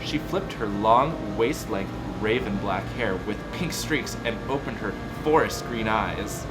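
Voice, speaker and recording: A man's voice: fairly low pitch, animated, a little slow, rough recording. A man voicing a melodramatic, self-absorbed teenage goth vampire girl in a comedic dramatic reading, with theatrical, overwrought emphasis. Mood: brooding, dramatic. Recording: loud music behind the voice.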